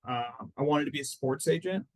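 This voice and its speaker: A man in his thirties from Phoenix, a lawyer guest talking in a relaxed, chatty way, full of "like" and "I mean". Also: on the high side, normal speed. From Phoenix, Arizona. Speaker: personal injury lawyer, guest. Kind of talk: casual interview answers.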